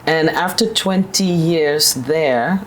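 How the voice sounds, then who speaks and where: A woman in her fifties with a New York accent, a guest telling her career story in an assertive, upbeat way with sudden high lifts in pitch. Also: takes it slowly, slight background noise, low-quality recording. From New York, USA. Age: 50s. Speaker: guest, managing director. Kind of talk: conversational interview storytelling.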